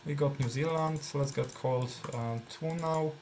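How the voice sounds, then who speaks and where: A man's voice: fairly high pitch, unhurried, vocal fry, a little mellow, slight background noise. A man with a Polish accent, native Polish speaker, casually commenting on his own gameplay while thinking aloud with fillers. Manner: thinking aloud, many fillers and trailing phrases. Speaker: gameplay streamer.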